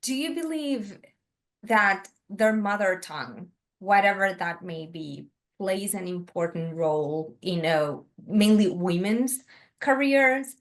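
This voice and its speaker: A woman's voice: mid-range voice, lively intonation, takes it slowly. A woman hosting a podcast interview, affirming her guest and using filler sounds, whose first language is not English. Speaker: podcast host. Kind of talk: conversational interview.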